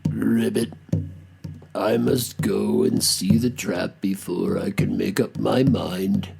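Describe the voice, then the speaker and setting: A solemn old speckled toad from a folktale, put on by a storyteller, ribbiting and deliberating. The pitch drops low and the pace is slow, like a magistrate weighing a case. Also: steady tone, vocal fry, a little mellow, breathy edge, faint music behind, heavily acted.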